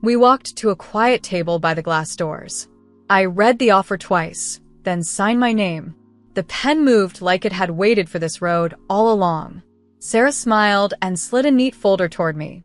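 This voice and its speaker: A woman reading a scripted first-person story in a measured, earnest, literary storytelling manner. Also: mid-range voice, faint music behind. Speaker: narrator.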